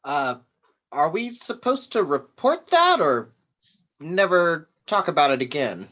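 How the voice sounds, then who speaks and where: Muffled, lo-fi sound carries a man acting a supporting comedy character. The delivery swings widely in pitch, as in a read-through of a script. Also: sing-song, a little slow, sharp tone, heavily acted. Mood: uneasy. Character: supporting comedy characters: uneasy technician, curt boss. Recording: dull, muffled lo-fi microphone.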